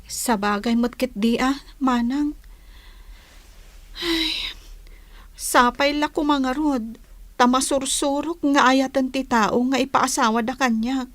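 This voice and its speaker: An actress with a Filipino accent playing a reluctant, petulant young bride-to-be in a radio drama. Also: female voice, high voice, slow pace, long pauses, no echo. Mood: defensive, resigned. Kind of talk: Ilocano radio drama acting.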